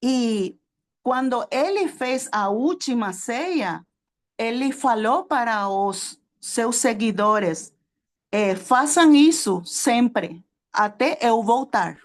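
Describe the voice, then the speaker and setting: A woman with a Spanish accent, a native Spanish speaker, teaching a sermon to a small group, halting often with fillers and checking that her listeners follow. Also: fairly high pitch, animated. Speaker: preacher and theology teacher.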